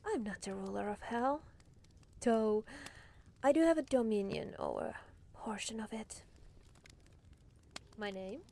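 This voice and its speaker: A woman voicing a haughty, teasing demon lord in an ASMR roleplay, condescending and theatrical, with breathy murmurs. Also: on the high side, expressive, unhurried, pauses often, warm tone, slight background noise. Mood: haughty, regal.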